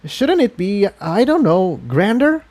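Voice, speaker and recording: A man's voice: high-pitched, big pitch swings, slow pace, darkish tone, pitched above the performer's own voice, full character voice. A man voicing an anxious, defiant young male dragon in a storybook reading. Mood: incredulous, unsure. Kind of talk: storybook character voice.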